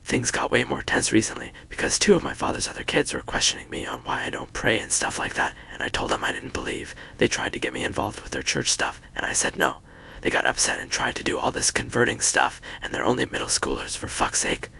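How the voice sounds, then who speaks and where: A man narrating a scripted first-person story in a hushed, breathy whisper. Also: mid-range voice, huge pitch swings, a little fast.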